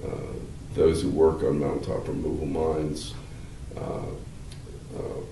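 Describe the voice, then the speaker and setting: Very deep, slow older man explaining. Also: completely flat delivery, warm tone. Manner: measured, halting, many fillers and restarts; gestures with hands while talking. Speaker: law professor. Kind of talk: explanatory interview answers. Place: wood-paneled interview room, seated indoors. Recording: roomy, slightly distant, faint hiss.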